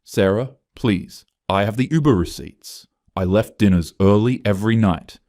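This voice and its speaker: A man acts out a desperate male office worker in a story. His deep voice is agitated and pleading, full of bewilderment at being accused. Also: normal speed, darkish tone, no room sound, clean studio sound, lightly acted.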